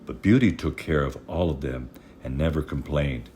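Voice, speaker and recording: A man reading a fairy tale aloud as storyteller, measured and expressive in his scripted narration. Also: rumbling low, slight background noise.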